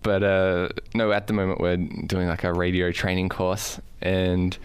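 A man's voice: low voice, flat delivery, unhurried, smooth. A man with an Australian accent co-hosts a podcast in casual banter, with dry, tongue-in-cheek humour. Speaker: podcast co-host.

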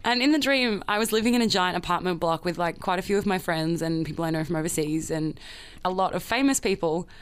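A woman's voice: lowish voice, fast, clean tone. A woman with an Australian accent co-hosts a podcast in casual, tongue-in-cheek comic banter. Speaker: podcast co-host.

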